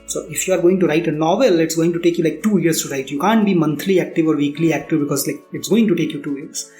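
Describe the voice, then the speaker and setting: A man's voice: on the high side, fast talker, dark tone. A man with an Indian accent answering interview questions as a founder, candidly explaining and repeating phrases for emphasis. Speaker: startup founder being interviewed. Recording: roomy echo, music underneath.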